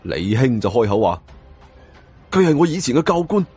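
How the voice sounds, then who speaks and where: Lively male Cantonese storyteller. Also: mid-range voice, normal speed, husky, faint music behind. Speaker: audiobook narrator. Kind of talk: dramatic scripted first-person story narration.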